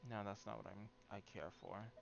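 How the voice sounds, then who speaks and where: A man's voice: on the low side, level tone, normal speed, creaky, dull audio, noisy background. A man doing casual livestream game commentary, thinking aloud in an anxious, candid way. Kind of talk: casual gameplay commentary to stream viewers.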